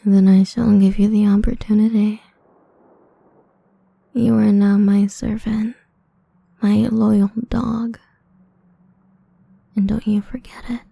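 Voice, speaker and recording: Actress as cruel, playful female vampire for ASMR roleplay. Slow, level delivery, soft and breathy, condescending taunts, half-whispered. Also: medium pitch, little pitch movement, full character voice. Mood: commanding, seductive. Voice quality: dark, soft, breathy, creaky.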